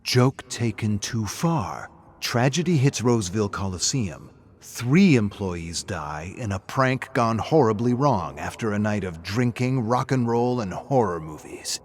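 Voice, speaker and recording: Guy doing a creepy low killer for a horror story, slow mocking whispery taunts, cold and cruel, bit of noise behind. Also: expressive. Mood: cruel, deliberate. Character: sadistic male killer journalist, mocking, coldly menacing. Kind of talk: audio horror story character.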